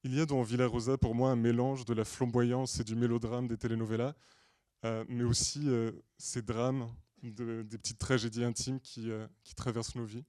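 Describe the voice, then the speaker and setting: A man with a mid-pitched, dry-sounding voice delivers a prepared story pitch. He is from Portugal and speaks in earnest, deliberate phrases. Hesitant pauses break up his storytelling. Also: level tone, dark tone, no echo. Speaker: screenwriter and director pitching a feature film. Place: screening room at a film school.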